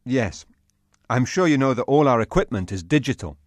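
A man's voice: medium pitch, animated, moderate pace, no room sound, clean studio sound, close to an everyday voice. A man playing a knowledgeable TV technical director who explains things in a businesslike way. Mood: explaining. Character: eager male TV technical director, knowledgeable, instructing.

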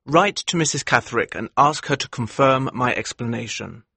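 A man playing a charming, polite middle-aged gentleman in a dramatized audiobook. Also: medium pitch, normal speed, gravelly, slightly rough, no room sound, heavily acted. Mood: earnest, reassuring.

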